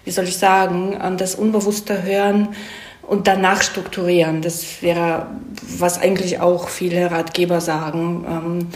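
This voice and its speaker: A woman's voice: on the low side, level tone, a little slow, a little mellow. A woman giving casual explanations as an interview guest, thoughtful and hesitant, often correcting herself and checking for agreement. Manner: thoughtful, hesitant, self-correcting, seeks agreement with tag questions. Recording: roomy echo.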